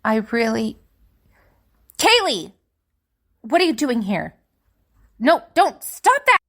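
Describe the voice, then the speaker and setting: A woman's voice: on the high side, big pitch swings, slow pace, a bit bright, full character voice. A woman playing a young spellcaster student in an audio drama, confiding and hesitant, anxious and wistful. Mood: alarmed. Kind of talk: dramatic monologue in fiction podcast.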